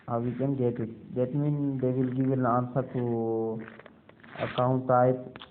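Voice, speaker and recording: A man from Pakistan walking viewers through a screen-recorded tutorial, halting with many fillers and addressing them as guys. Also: mid-range voice, flat delivery, background noise. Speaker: video creator. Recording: tinny phone-like sound.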